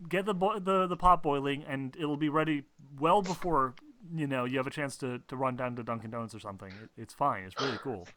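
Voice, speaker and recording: A man's voice: medium pitch, brisk, a bit bright, a little hiss or hum, natural voice. A man voicing an awkward woman in a tabletop game, hesitant and passive-aggressive as she rambles. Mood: awkward, hurt. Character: Susan, awkward woman insistently offering her coffee.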